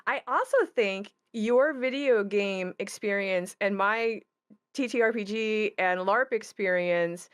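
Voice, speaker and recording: Lady chatting about her work, clear smooth voice, thoughtful, dry sound. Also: medium pitch, takes it slowly, no echo. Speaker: tabletop game creator. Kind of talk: casual panel chat.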